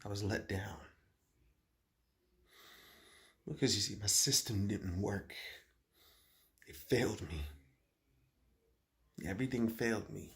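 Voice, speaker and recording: A man acts out a troubled, guilt-ridden schoolboy in a dramatic monologue. His voice sits lowish and hushed, halting and deliberate, with heavy pauses between phrases. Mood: bitter, let down.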